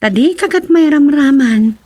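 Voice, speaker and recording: A fretful townswoman in an Ilocano radio drama. Her voice is high and recorded dry and close. She has an Ilocano accent and a worrying, careful temper. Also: expressive, moderate pace, mellow, dry recording. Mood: worried. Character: worried, fretful townswoman wary of illegal recruiters.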